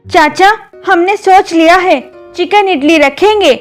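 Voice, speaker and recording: Woman doing fed up village wife, super high voice, scolding, cartoon story with music. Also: unhurried. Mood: determined. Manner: scolding, exasperated.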